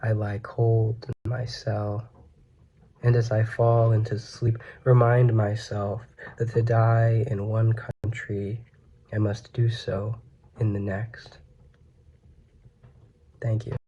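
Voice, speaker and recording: Young guy reading a poem, slow and solemn, mellow voice, bit muffled webcam sound. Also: on the low side, little pitch movement, slight background noise. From United States. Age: 20s. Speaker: poet. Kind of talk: poetry reading. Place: small plain room indoors.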